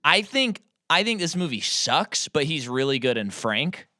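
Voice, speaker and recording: A man's voice: on the high side, very animated, unhurried, pushed and bright, no echo, studio quality. A man co-hosting a movie podcast, chatting casually and explaining with enthusiasm. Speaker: podcast co-host. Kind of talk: casual movie-review chat.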